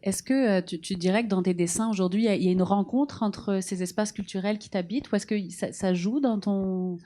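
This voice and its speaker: A woman's voice: mid-range voice, brisk, warm tone. Woman moderating a festival panel. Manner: hesitant questions with fillers.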